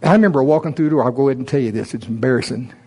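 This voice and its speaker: A middle-aged man giving a folksy, self-deprecating testimony as a preacher, telling personal stories in an animated way. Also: mid-range voice, racing, breathy edge. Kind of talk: sermon, personal storytelling.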